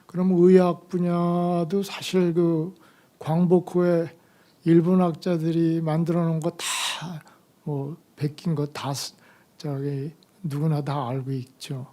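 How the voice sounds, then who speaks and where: An older man lecturing at an academic conference, his voice hoarse from a cold. The tone is mellow and dark. He moves haltingly, pausing on fillers as he gathers each thought. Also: fairly high pitch, level tone. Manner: halting, slow delivery with many fillers. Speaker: retired medical professor giving a conference lecture.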